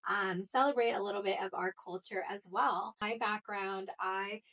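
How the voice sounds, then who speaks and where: A woman's voice: mid-range voice, fairly even intonation, normal speed, fairly bright tone. A woman from Colombia co-hosting a recruiting show, telling personal stories in a casual chat with plenty of fillers. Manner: chatty, storytelling, frequent fillers.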